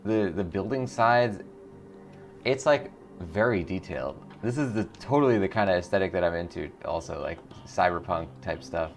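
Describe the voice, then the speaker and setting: A man with a low voice hosts a casual video game playthrough. He is informal and enthusiastic as he comments, and faint music plays behind him. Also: moderate pace. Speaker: gaming show host.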